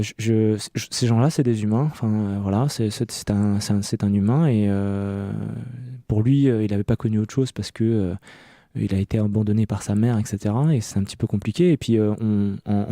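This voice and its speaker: Mellow-voiced man telling his story haltingly. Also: fairly low pitch, no room sound, studio quality. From France. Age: early 30s. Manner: hesitant, halting delivery with many self-repetitions. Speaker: interview guest, clothing maker. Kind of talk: conversational personal storytelling in an interview.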